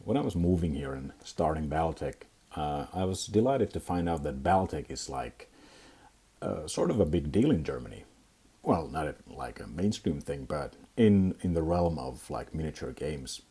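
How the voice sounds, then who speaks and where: A young Finnish man with a Finnish accent, hosting a casual hobby review vlog, talking in a halting way with many fillers and restarts. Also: a bass voice, sing-song, dark tone, slightly rough. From Finland. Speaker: tabletop gaming channel host.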